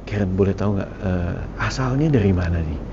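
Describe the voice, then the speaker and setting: A man's voice: low-pitched, a little fast, mellow, dull audio. A man hosting a podcast in a casual interview style, chatty and often chiming in with agreement. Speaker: podcast host. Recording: clearly noisy background.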